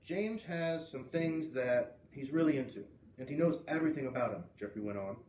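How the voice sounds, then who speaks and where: Male storyteller for a children's read-aloud: expressive, medium pitch, roomy sound with faint noise. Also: moderate pace, distant mic.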